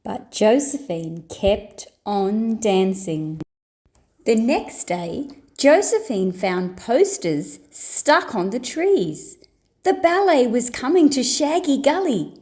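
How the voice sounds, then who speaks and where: Woman, children's read-aloud, slow deliberate delivery, high pitch, animated intonation. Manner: expressive, deliberate. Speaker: storyteller.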